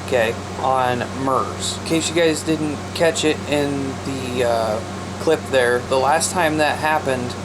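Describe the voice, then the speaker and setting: A man's voice: mid-range voice, fairly even intonation, slow. A man talking casually to viewers as a radio hobbyist vlog host, often repeating himself for emphasis. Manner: repetitive, emphatic, addresses listeners as guys. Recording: loud background noise and radio hiss.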